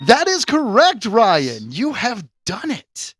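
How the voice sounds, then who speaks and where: A man's voice: very high voice, huge pitch swings, slow, a bit bright, faint music behind. A man hosting a pop culture quiz on a podcast in a casual, chatty style, announcing questions and verdicts theatrically. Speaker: podcast host and quizmaster.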